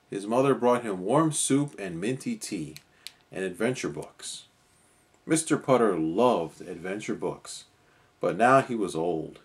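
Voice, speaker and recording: A man's voice: on the low side, a little mellow. A man narrating a children's story expressively as a storyteller.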